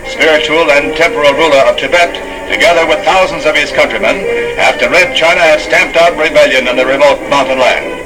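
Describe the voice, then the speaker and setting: A man's voice: fairly high pitch, poor audio. An American man reading scripted 1960s newsreel narration in a formal, emphatic announcer delivery. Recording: archival newsreel soundtrack with loud music.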